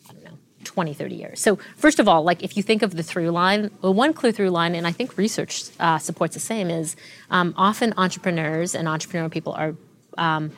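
A woman in her forties, a tech executive being interviewed, telling stories fluently and with lively restarts. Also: lowish voice, slight background noise. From Canada. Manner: fluent, animated storytelling with frequent restarts. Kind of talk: interview conversation.

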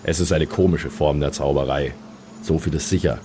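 A man voicing a weary fantasy cleric in a role-playing audio play, measured, grudging and a little exasperated. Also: extremely low pitch, noisy background. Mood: urgent.

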